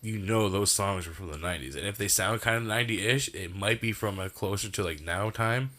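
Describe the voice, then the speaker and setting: A man's voice: fairly low pitch, brisk, bright, forceful tone. A man co-hosting a podcast in casual chat, laid-back, swearing and laughing often. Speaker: podcast co-host.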